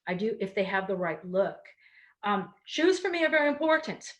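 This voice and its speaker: A woman's voice: mid-range voice, very animated, normal speed, fairly bright tone. Chatty woman with a Connecticut accent. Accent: Connecticut, with a trace of Baltimore. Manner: chatty, with many ums and restarts. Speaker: performer and storyteller giving a talk. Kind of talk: casual explanatory talk.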